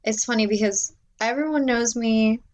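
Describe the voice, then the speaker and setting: A young adult woman with a loud voice, talking as a guest in a casual interview about working as an assistant director, full of "like"s and restarts. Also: a little high, moderate pace, dull audio. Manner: animated, filler-heavy, many "like"s.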